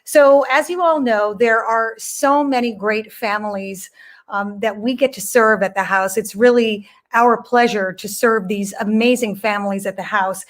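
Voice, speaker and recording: A woman's voice: on the high side, a little slow. A woman hosting a virtual charity gala, giving gracious thanks and introductions. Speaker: gala host.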